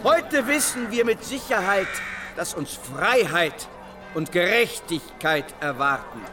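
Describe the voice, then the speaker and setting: A zealous revolutionary preacher in a historical radio drama. His voice is high and he speaks slowly, declaiming to rouse his listeners. Music plays clearly underneath. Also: sing-song, heavily acted. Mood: fervent, rousing. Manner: declamatory, rousing, deliberate. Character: fiery 16th-century radical preacher, zealous male revolutionary.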